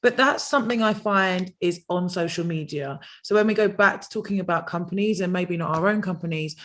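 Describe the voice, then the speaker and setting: A woman's voice: fairly low pitch, a little fast. A woman hosting a panel discussion, leading into her questions with long, winding setups. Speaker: panel host.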